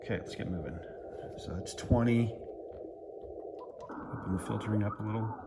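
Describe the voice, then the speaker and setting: A man's voice: on the low side, normal speed, heavy background noise. An American man giving a casual running commentary as he operates a radio, thinking aloud and muttering to himself. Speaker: amateur radio operator and vlogger.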